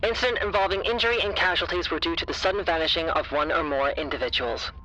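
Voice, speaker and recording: A man's voice: a little high, quick pace, bright, forceful tone, rough recording. An actor playing an impersonal male official who reads out an incident report in an audio drama, formal, clipped and detached. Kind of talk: scripted bulletin in an audio drama.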